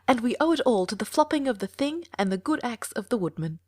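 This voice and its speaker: A man doing a high, fussy educated insect. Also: big pitch swings, fast talker, darkish tone, no room sound, clean studio sound, exaggerated voice. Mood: chipper. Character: educated male insect, pompous. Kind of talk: audiobook character voice.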